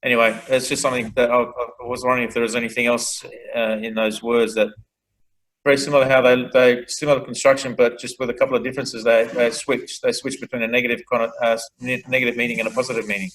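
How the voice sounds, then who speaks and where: A man's voice: mid-range voice, flat delivery, fast talker, a bit bright, rough recording. A man in a video-call study group asking a question, hesitant with many fillers and restarts.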